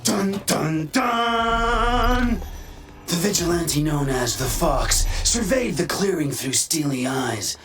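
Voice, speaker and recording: Game role: brash, playful hacker vigilante, highly expressive, crude and teasing, loud music underneath. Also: male voice, slow pace. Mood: mocking, showy. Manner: theatrical, teasing, slipping into mock voices. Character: cocky, wisecracking masked male vigilante hacker, playful and crude. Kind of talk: video game voice acting.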